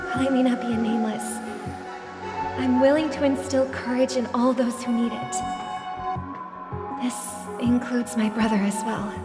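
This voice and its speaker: Actress as gentle, resolute young idealist for a game; high voice, echoing reverb. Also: female voice, steady tone, close to an everyday voice. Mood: earnest, resolute. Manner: earnest, heartfelt, measured phrasing. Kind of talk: video game voice acting. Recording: echoing reverb, clear music underneath.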